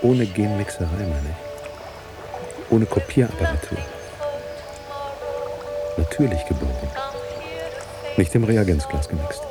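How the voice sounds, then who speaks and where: A man's voice: low voice, lively intonation, slow pace, pauses often. Wry, brooding man over music. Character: frustrated, brooding, self-mocking journalist. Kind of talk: German radio drama acting.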